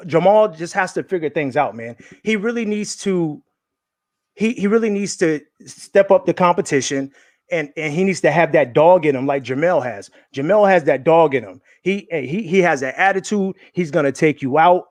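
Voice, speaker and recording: A man's voice: on the high side, no echo. A man giving his opinions as a guest on a boxing talk stream, earnest and emphatic, repeating his points.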